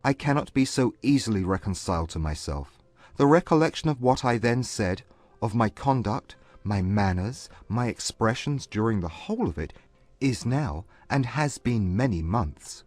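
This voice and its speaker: A man playing a proud, contrite gentleman in love, speaking with formal intensity in a dramatized classic romance audiobook. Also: lowish voice, very animated, no echo. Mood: remorseful. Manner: formal, intense, measured period phrasing. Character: earnest, proud gentleman suitor, contrite and lovestruck.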